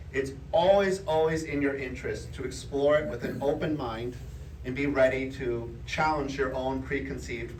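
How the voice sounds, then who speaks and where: A man giving an informal public lecture, explaining things in a conversational way to a live audience. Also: a little high. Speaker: presenter giving a geology talk. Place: indoor room before a live audience. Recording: roomy, distant mic, faint background noise.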